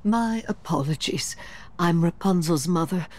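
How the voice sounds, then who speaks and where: A woman playing a middle-aged, manipulative mother in an animated game, with a dramatic, honeyed delivery. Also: fairly low pitch, a little slow. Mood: falsely sweet. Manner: honeyed, dramatic, swooping into breathy hush. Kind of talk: animated game cutscene acting.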